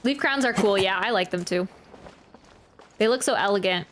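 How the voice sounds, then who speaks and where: A woman's voice: mid-range voice, moderate pace, slight background noise. A woman streamer reacting to a game and chatting casually, rambling and often interrupting herself. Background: soft game music.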